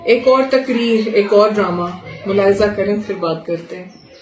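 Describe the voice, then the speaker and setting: A woman's voice: mid-range voice, a little slow, a little mellow. A woman political commentator giving a sarcastic, scolding opinion vlog. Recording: echoey room with noise.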